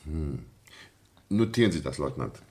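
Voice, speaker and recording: An actor playing a brusque, authoritative French police prefect who questions witnesses curtly in a German radio play. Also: male voice, extremely low pitch, very animated, a little slow, dark tone, exaggerated voice. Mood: curt, businesslike. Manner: curt, commanding, interrogating.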